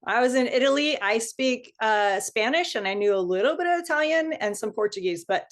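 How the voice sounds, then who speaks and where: A woman hosting a casual interview over a video call, encouraging and quick to laugh. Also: fairly high pitch, animated. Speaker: host.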